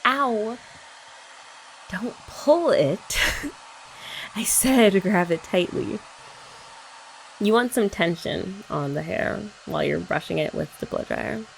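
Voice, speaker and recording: A woman's voice: medium pitch, animated, takes it slowly, creaky, pauses often, a little hiss or hum, poor audio. A young woman doing a chatty ASMR girlfriend roleplay, explaining each step and teasing her listener. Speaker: ASMR creator.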